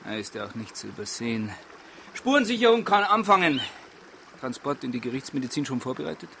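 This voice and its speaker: A man playing a brisk chief of detectives in a radio drama, with a dry, formal, officious manner. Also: mid-range voice, sing-song, creaky, fairly bright tone, a little hiss or hum. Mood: brisk, curt. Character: brisk, dryly sarcastic male chief of detectives.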